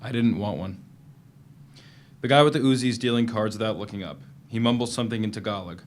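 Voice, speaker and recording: A man's voice: on the low side, fast, a little hiss or hum, natural voice. An actor playing a guarded, defiant prisoner, narrating his journal tersely in a dramatic reading of a novel. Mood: tense narration. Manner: plain, guarded, terse storytelling in present tense.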